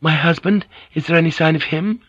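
A composed, polite Japanese noblewoman as a male narrator plays her in an audiobook, pitched up from the reader's voice. The phrasing is formal and careful, with a soft husky grain. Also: brisk, no room sound, lo-fi sound. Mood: anxious.

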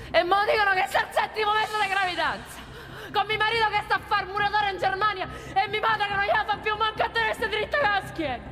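High-voiced, exasperated Roman working woman. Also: a bit bright. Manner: colloquial, plaintive, emphatic. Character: exasperated pregnant working-class woman in her thirties. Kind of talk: theatrical radio drama monologue. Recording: reverberant theatre with music behind.

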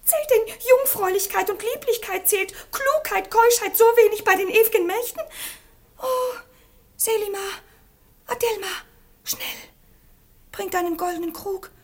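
An actress playing a cruel, imperious young princess with haughty, melodramatic declamation in a radio play. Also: female voice, extremely high pitch, unhurried. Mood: self-pitying despair. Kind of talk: theatrical radio play acting.

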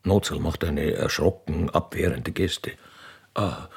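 A man with a husky voice, reading scripted stage directions as the narrator of a radio play. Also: low-pitched, animated, a little slow.

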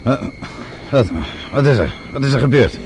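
A man's voice: medium pitch, sing-song, moderate pace, noisy background. An actor playing a shrewd, stubborn middle-aged man with a dry, authoritative, sardonic manner in a radio play. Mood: indignant, stubborn. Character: shrewd, self-assured father-in-law, dry and stubborn.

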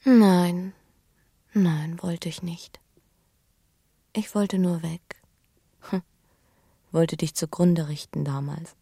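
A breathy young woman speaks with a husky edge in a very dry studio. She is an earnest, intimate lover in a radio drama, confiding in deliberate phrases. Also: lowish voice, fairly even intonation, warm tone, no room sound, full character voice. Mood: hushed confession. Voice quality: breathy, often sinking to a whisper. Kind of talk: German radio drama acting.

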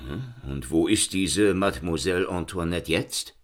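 An actor playing a methodical Paris police inspector in a radio play, questioning a witness in a businesslike way. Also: male voice, low voice, moderate pace, lightly acted.

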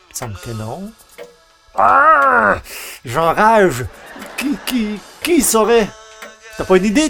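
A man playing an excitable, geeky newspaper columnist in an old-style radio comedy, theatrical and exclamatory. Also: on the high side, gravelly, heavily acted. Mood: agitated. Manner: theatrical, exclamatory, muttering to himself.

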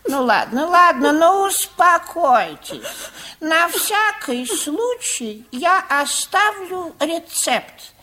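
A woman playing a kindly, quaint little old male doctor in a radio play, soothing and unhurried. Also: very high-pitched, big pitch swings, bright, forceful tone, full character voice. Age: older.

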